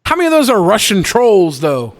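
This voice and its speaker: A man's voice: high-pitched, wildly swooping pitch, brisk. A sardonic, foul-mouthed man chatting casually and jokingly as a podcast co-host. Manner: sardonic, profane. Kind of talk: casual comedic podcast chat.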